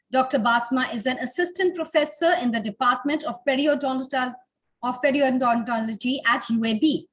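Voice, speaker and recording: A woman hosting a webinar, reading a scripted introduction in a formal, ceremonious manner. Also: high voice. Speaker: webinar host.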